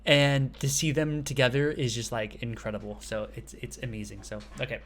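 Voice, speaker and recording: A man hosting a casual reaction video, chatty and excitable, often breaking into laughs. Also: medium pitch, brisk.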